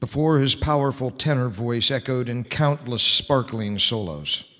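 A man's voice: mid-range voice, a little slow, a bit bright. Man reading radio scripts in a reverberant hall. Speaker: radio show host. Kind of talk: scripted narration, reading old-time radio ads and introductions. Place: large indoor hall, on stage. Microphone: microphone on a stand. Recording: echoey hall with long reverb.

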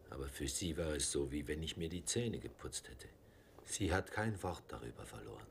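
A trusting monk with a very deep voice in a dubbed film. He tells his story calmly and reflectively, and his pitch rises and falls in a lively way. He never sounds guarded. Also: gravelly, slight background noise, exaggerated voice. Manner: calm, reflective, unguarded. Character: gentle, trusting male Carthusian monk. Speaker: narrator recounting his journey.

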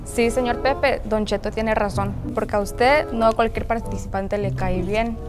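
A woman's voice: on the high side, steady tone, normal speed, over music. A woman playing a polite but firm talent show judge in a comedy sketch, in a large bare hall.

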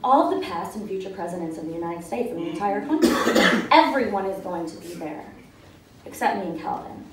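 A woman's voice: mid-range voice, expressive, a little fast, voice pushed down, lightly acted. A woman voicing a breezy, self-absorbed famous young woman in a comic story read live. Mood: casually bossy. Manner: breezy, bubbly, oblivious. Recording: roomy, distant, noisy live-event recording.

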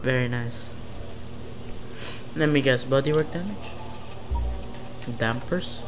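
Male gaming host with a Filipino accent, easygoing and unhurried, loud game music and engine noise underneath. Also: mid-range voice, rough recording. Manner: laid-back, swears casually, talks to himself. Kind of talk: casual gameplay commentary. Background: game music and car engine.

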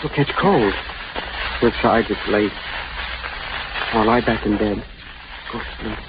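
An actor playing a weary eighteen-year-old soldier son, halting and gently reassuring, in 1930s radio style. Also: male voice, lowish voice, lightly acted. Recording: noisy, muffled 1930s radio broadcast.

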